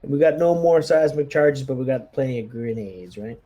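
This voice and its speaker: A man's voice: mid-range voice, lively intonation, fairly quick, muffled recording, a crowd in the background. A man chatting casually as a player in a tabletop roleplaying game, organising the squad.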